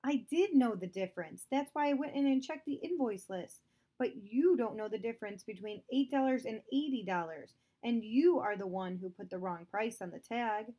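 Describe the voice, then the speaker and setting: A woman reading aloud, voicing a principled young brother who questions and insists on the truth, higher than her narration. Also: moderate pace, mellow, close to an everyday voice. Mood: firm. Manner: firm, questioning.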